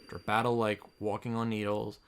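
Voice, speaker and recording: A man reading the visual novel monologue of an earnest, determined young student of magic, in a hushed, solemn, measured way. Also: on the low side, little pitch movement, noisy background, close to an everyday voice. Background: background music.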